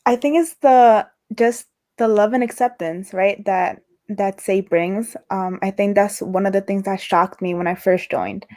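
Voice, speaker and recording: Young woman who stutters, soft mellow voice. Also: mid-range voice, fairly even intonation, unhurried, hardly any pauses. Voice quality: stutters, with repetitions and broken-off words. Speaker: interview guest. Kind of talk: casual conversation over a livestream.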